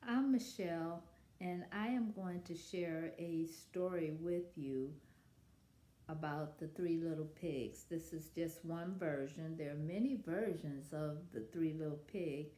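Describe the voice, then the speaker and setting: A woman introducing and reading a children's picture book aloud, with deliberate pauses. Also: deep voice, dark tone. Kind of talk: read-aloud children's story. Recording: echoey room.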